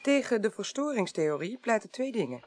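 A woman acting in a Dutch radio play as a businesslike, sharp investigator who speaks in a measured, orderly way. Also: mid-range voice, big pitch swings, no echo. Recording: faintly noisy 1980s radio drama.

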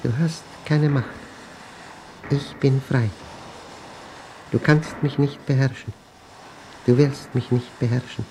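Radio play lead: obsessed, fearful man at war with a machine, slow clipped delivery, slightly noisy recording with rumble. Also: steady tone, darkish tone. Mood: defiant. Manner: intense, halting delivery in clipped fragments. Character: obsessed, paranoid man battling a machine he fears. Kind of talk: radio drama monologue, tape diary.

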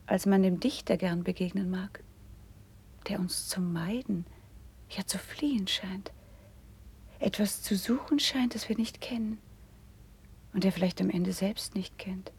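An actress playing a gentle, thoughtful noble princess, speaking formal verse in a measured, soft-edged way in a classical radio drama. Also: female voice, medium pitch, husky. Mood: pensive, composed. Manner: measured, formal, poetic declamation.

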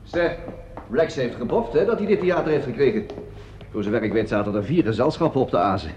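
An actor playing an effusive, vain veteran stage actor in a radio drama, gushing theatrically and repeating himself. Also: male voice, mid-range voice, expressive, a little fast, a little mellow, lightly acted. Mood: affable, chatty. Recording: dull, noisy old radio play recording.

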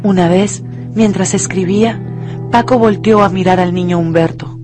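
A woman narrator telling a story for a radio drama in a measured, expressive way. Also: medium pitch, music in the background.

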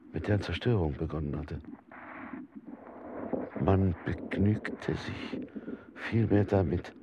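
An actor playing a persecuted husband in a documentary radio drama, recounting his sworn testimony in a formal, measured, precise way. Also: sits low, muffled recording, background noise.

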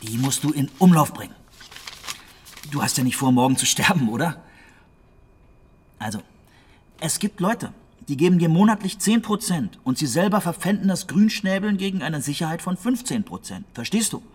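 An actor playing a breezy small-time hustler in a radio drama, talking in a casual, prodding, persuasive way. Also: male voice, on the high side, animated, moderate pace, long pauses, breathy edge. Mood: pushy.

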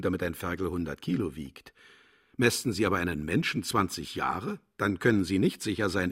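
A man's voice: low voice, husky. An actor playing a cynical, condescending man who lectures pompously about profit in a theatrical radio drama. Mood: smugly explaining. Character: cynical, condescending male defender of killing for profit.

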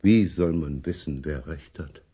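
An actor in a German radio play playing a weary, pious old prince who speaks in a resigned, halting, devout way. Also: male voice, extremely low pitch, mellow, slightly rough, full character voice.

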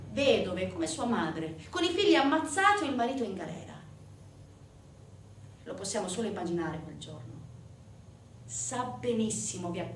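Roomy distant recording, higher-voiced woman, theatrical storyteller, emphatic phrasing, deliberate pauses, hushed-to-forceful swings. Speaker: stage actress and narrator. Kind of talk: dramatic theatrical monologue storytelling. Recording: distant, echoey room recording with faint noise.

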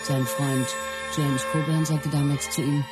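A woman's voice: low-pitched, flat delivery, unhurried, over music. A woman playing a close companion who narrates a star's life story in a scripted radio play. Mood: reflective.

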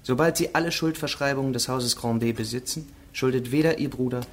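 An actor playing an eager, formal provincial official in a German radio play, assured and obliging. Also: male voice, mid-range voice, fairly quick, a little hiss or hum, close to an everyday voice. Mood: assured, advising. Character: eager, businesslike nephew, a provincial court president.

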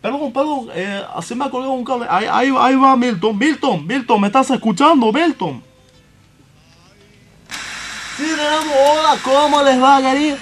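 A man plays an excitable Cuban host of a comic football radio show, pitched very high. He is bumbling and boozy, rambling along and repeating his phrases. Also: a little fast, hardly any pauses. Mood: flustered. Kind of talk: comic sports radio presenting.

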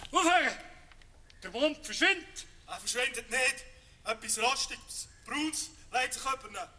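A man's voice: very high-pitched, expressive, unhurried. A man playing a panicked villager who cries out frantically in a radio play. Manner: frantic, crying out. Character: panicked villager crying out at a vanishing moon. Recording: reverberant, distant, noisy.

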